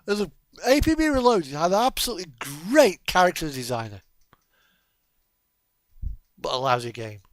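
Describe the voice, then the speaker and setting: A man's voice: a little high, big pitch swings, normal speed, long pauses, no echo. A man chatting casually in a rambling, self-amused way as he talks through his video gaming. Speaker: video game streamer.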